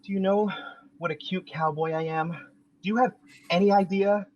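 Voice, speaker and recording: A man's voice: high voice, fairly even intonation, moderate pace, darkish tone, slight background noise, lightly acted. Man reading a vain, overeager young man. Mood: pleading. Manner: pleading, showy. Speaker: podcast host reading a part. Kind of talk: table read of a sitcom script. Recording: dull lo-fi recording.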